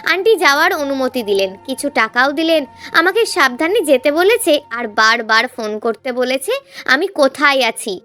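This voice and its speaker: A woman's voice: sits high, lively intonation, moderate pace, bright, forceful tone, faint music behind. A woman reading a scripted, emotional first-person story as a narrator.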